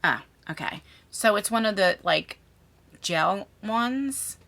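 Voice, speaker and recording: Animated, bright-voiced woman chatting. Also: mid-range voice, slow pace, creaky. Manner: effusive, talks to viewers and to the items. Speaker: beauty vlogger. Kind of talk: casual chatty product unboxing.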